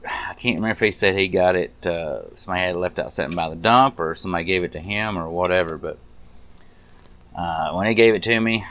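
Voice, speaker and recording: A man with a deep, resonant voice talks through a restoration project. His delivery is casual and conversational, with a folksy, rambling flow as he shows things off unscripted. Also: fairly bright tone. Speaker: hobbyist radio restorer showing a project. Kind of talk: casual unscripted show-and-tell narration.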